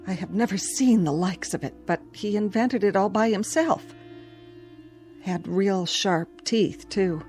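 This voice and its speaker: A woman's voice: lowish voice, a little mellow. A woman playing a small-town housewife in an audio drama, folksy and chatty with carefully kept-up pleasant cheer.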